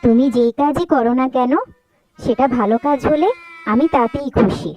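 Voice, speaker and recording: A woman's voice: sits high, moderate pace, a little mellow, faint music behind, lightly acted. A woman playing an affectionate, supportive young wife in a cartoon story, sweet and chatty. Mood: sweet, pleased. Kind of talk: Bengali cartoon story dialogue.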